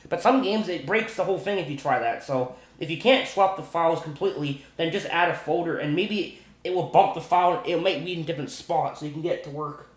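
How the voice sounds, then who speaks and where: A man's voice: fairly high pitch, a little fast, hardly any pauses. A man giving rambling, casual gaming commentary, stumbling over and repeating his words, with slangy enthusiasm. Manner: repetitive, stumbling, restarts sentences often. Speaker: gaming video creator. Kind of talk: rambling casual commentary on video game glitches. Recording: roomy, echoing home recording.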